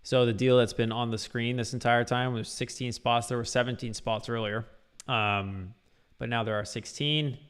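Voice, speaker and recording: A man's voice: medium pitch, steady tone, normal speed, a bit bright, smooth. A man teaching guitar on a casual livestream, talking loosely with lots of fillers. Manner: loose, rambling, full of fillers.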